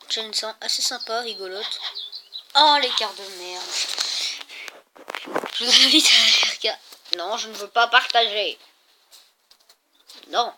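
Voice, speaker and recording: A woman's voice: fairly high pitch, measured pace, long pauses, heavy background noise. A woman with a cold, chatting casually and rambling a little as she plays and explains mobile games. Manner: chatty, rambling, lots of fillers. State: has a cold. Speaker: gaming YouTuber. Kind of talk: casual gameplay commentary.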